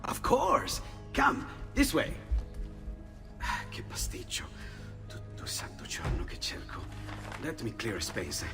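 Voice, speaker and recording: An actor playing a friendly, excitable Renaissance inventor in a video game, flustered and animated, with an Italian accent. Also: male voice, mid-range voice, unhurried, vocal fry, long pauses, in a large hall, music in the background, heavily acted. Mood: excited, welcoming. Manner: animated, flustered, muttering to himself. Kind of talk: video game cutscene acting.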